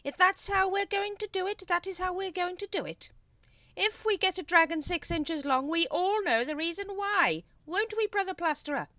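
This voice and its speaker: A grand, exasperated master of a secret cult, acted out by a woman, pitched very high and forcefully loud. He is imperious and sarcastic, declaiming like a storybook tyrant who has run out of patience. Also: normal speed, dry recording. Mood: weary sarcasm. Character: pompous, exasperated male leader of a secret cult. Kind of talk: storybook character voice in audiobook.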